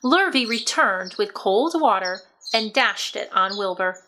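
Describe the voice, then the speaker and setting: A woman narrating a children's book aloud in an expressive, scripted storybook style. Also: medium pitch, unhurried, a little hiss or hum.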